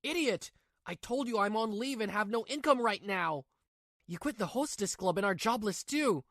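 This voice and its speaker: A woman's voice: mid-range voice, lively intonation, normal speed, no room sound, studio quality. A woman voicing a weak, cheating husband in a manga dub, stammering and pleading. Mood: scolding.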